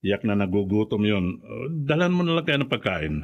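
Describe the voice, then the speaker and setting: Radio drama, worried middle-aged father, commanding, low pitch. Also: big pitch swings, normal speed, dull audio. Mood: stern, uneasy.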